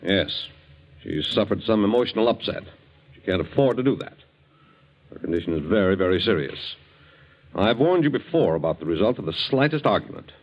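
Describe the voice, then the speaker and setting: An actor playing a grave, frank family doctor with a measured, authoritative manner in a 1940s radio drama. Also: male voice, lowish voice, lively intonation. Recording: dull 1940s radio broadcast recording.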